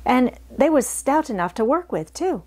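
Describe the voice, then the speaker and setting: A woman's voice: sits high, sing-song, moderate pace, a little mellow, voice pushed up. A woman voicing a chatty, good-hearted young housemaid with folksy dialect grammar, in a storybook. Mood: excited, helpful.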